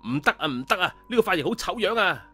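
A pushy, childish would-be deity from an audio story, put on by a male narrator. He pitches his voice high and loud, insisting on his way and repeating his demands, with music underneath. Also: lively intonation, fast, slightly rough, full character voice. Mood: indignant refusal. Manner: loud, insistent, repeats himself, speaks of himself in third person. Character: childish, stubborn, boastful self-styled god, male.

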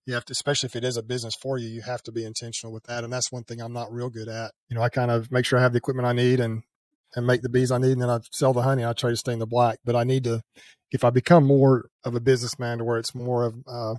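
Host role, older guy, fast and flat-toned delivery, dry close headset sound. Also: medium pitch, dry recording. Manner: rambling, self-correcting, chuckles at himself. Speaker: beekeeping channel host. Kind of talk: casual interview chat. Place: home room with a headset mic. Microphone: headset with a boom mic, video call.

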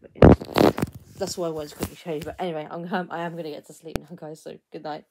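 Young woman, hoarse after a concert, tired bedroom phone chat, gravelly low voice. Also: little pitch movement, quick pace, very noisy. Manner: chatty, tired late-night talk. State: losing her voice from screaming. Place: bedroom at night. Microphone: phone camera held close.

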